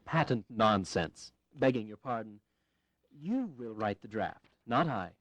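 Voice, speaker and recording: A man's voice: lowish voice, big pitch swings, a little slow, a bit bright, no echo, full character voice. An actor playing a blunt, fiery and eloquent congressman from Massachusetts in a historical audio drama, earnest and wry, with formal, emphatic phrasing. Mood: wry, insistent.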